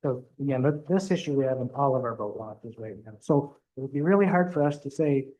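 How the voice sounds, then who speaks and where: A man speaking at a township council meeting, cautious and measured in his views. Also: mid-range voice, dark tone. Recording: muffled meeting-room audio.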